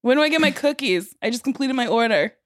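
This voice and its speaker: A playful, expressive woman co-hosting a podcast in casual comic chat. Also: on the high side, fast, no echo, studio quality. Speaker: podcast host.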